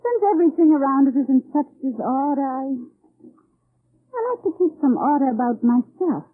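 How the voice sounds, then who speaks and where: A woman's voice: high voice, expressive, normal speed, slight background noise. An actress playing a guarded, evasive young Austrian woman in an old-time radio drama, careful and hesitant. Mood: nervous, evasive. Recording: dry, tinny old radio recording.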